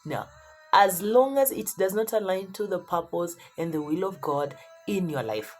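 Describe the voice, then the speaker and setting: Woman preacher, lowish pitch, very animated intonation, emphatic repeated phrases, motivational sermon, music in background. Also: moderate pace.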